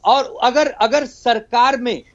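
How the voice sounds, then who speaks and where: A man's voice: very high-pitched, takes it slowly. A male party spokesman debating as a remote guest, insistent and exasperated, repeating phrases over a lo-fi link. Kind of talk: heated political debate.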